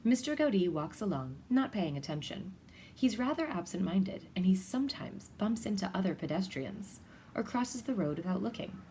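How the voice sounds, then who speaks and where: A woman's voice: mid-range voice, sing-song, moderate pace, mellow. Woman reading aloud, with background noise. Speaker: storybook reader. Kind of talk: children's picture-book read-aloud.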